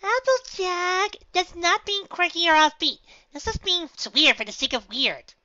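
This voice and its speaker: Super high bouncy girl pony, silly, gushing, cartoon storybook voice, bright and swoopy. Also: unhurried, no room sound, muffled recording, exaggerated voice. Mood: indignant, baffled. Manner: gushing, over-excited, argumentative.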